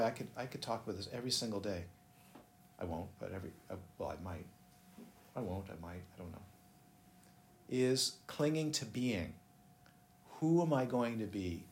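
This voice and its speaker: A man teaching meditation in a casual, rambling way, often breaking off and putting questions to his listeners. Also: on the low side. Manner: rambling, self-interrupting, asks listeners questions. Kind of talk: dharma talk. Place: busy room. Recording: echoey room, faint ambient noise.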